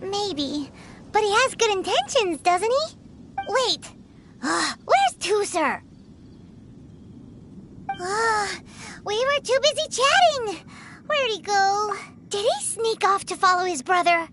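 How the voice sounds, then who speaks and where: A woman voicing a small, chatty, childlike fairy companion in a video game, with a squeaky voice. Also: sing-song, slow, long pauses, noisy background, full character voice. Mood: surprised. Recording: game music behind the voice.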